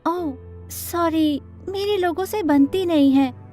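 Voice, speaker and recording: Cartoon rich-girl starlet played by a woman, lonely under the attitude, piercingly high, whiny, haughty, music underneath. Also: takes it slowly, dark tone. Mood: sad, confiding. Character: spoiled, dramatic, lonely young rich actress girl.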